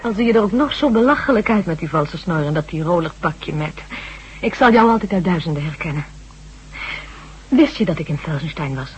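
An actress playing a grave, resolute survivor of a hunted humanlike species in a Dutch radio drama, speaking in an earnest, measured way. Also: female voice, on the low side, expressive, music in the background, natural voice. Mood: frank, regretful. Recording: dull old radio recording with hum.

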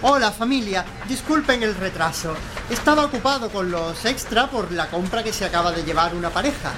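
An actor playing a menacing supermarket customer-service boss, formal and mock-courteous, in a satirical radio drama. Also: male voice, sits high, expressive, brisk, background noise. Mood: falsely cheerful.

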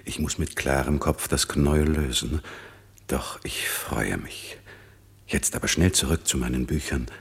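A very deep, gravelly old magician. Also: male voice, full character voice. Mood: musing, resolved. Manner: measured, solemn, musing aside. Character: wise, watchful older magician father, quietly benevolent. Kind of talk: German radio drama acting. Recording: long reverberant echo.

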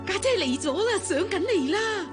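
An actress with a Hong Kong Cantonese accent playing a middle-aged, fussy, excitable mother of the bride in a radio drama. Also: extremely high pitch, normal speed, music in the background. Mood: flustered. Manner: fluttery, fussing, exclaiming.

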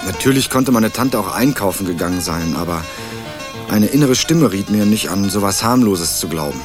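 Guy acting as young student narrator in radio crime play, lowish voice, wry measured telling, music behind. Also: animated, natural voice. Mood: uneasy, observant. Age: young adult. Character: young male student turned amateur sleuth, sober and wry. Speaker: narrator and protagonist. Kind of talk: scripted first-person crime radio drama narration. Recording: music under the voice, 1980s radio production.

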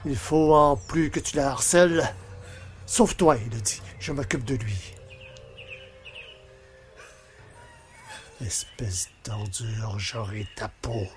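An actor playing a bitter, vengeful village carpenter, speaking in a brooding, menacing monologue for a French audio drama. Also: male voice, mid-range voice, unhurried, background noise, close to an everyday voice. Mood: furious, threatening.

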